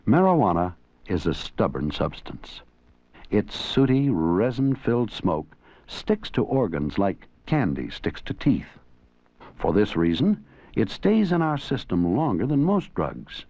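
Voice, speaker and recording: A man's voice: on the low side, big pitch swings, measured pace, warm tone, dry recording. A man narrating an educational film from a script, in an earnest, didactic way. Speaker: documentary narrator and presenter. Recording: dull 1980s educational film soundtrack.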